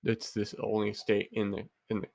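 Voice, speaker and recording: A man's voice: fairly low pitch, completely flat delivery, normal speed, vocal fry, darkish tone, no echo. A young man with a stuffy head cold and a nasal sound, chatting casually on a headset as a podcast co-host. He jokes and teases and rambles with lots of fillers. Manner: joking, teasing, rambling with many fillers. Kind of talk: casual chat.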